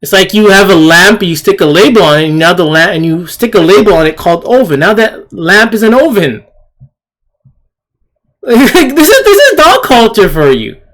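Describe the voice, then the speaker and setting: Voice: medium pitch, very animated, moderate pace, fairly bright tone. A person giving an indignant, opinionated commentary, emphatically repeating phrases and asking rhetorical questions. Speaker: video commentator. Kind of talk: opinionated rant commentary on shared screen clips.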